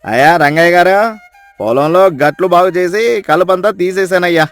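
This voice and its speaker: A man voicing a weary, aggrieved farm labourer in a moral story, pleading in a loud, strained way. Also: high voice, moderate pace, faint music behind.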